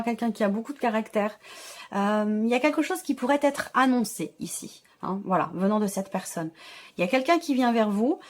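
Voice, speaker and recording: A woman giving a conversational tarot reading to her viewers, reassuring them and often circling back over her phrases. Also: fairly high pitch. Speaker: tarot reader, channel host.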